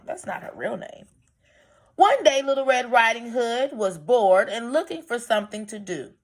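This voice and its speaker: A woman teaching young children through a read-aloud, explaining and prompting with questions in an instructive way. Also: on the high side.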